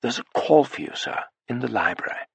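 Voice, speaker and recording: A man voicing a polite, deferential young male butler who speaks in a hushed tone. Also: mid-range voice, lively intonation, brisk, vocal fry, slightly rough, no room sound, full character voice.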